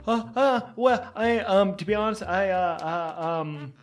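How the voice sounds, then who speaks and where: Man acting as young male rookie pilot, high-pitched, nervous, stammering, apologetic, sci-fi audio drama, music behind. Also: fairly even intonation. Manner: stammering, flustered, halting.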